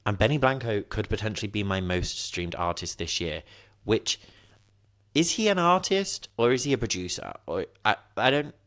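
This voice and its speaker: Chatty young man, very low voice. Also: sing-song, normal speed, fairly bright tone, dry recording, clean studio sound. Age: 20s. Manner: chatty, rambling, self-mocking asides. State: sniffly nose. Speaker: podcast host. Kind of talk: casual solo podcast chat. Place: at home, indoors.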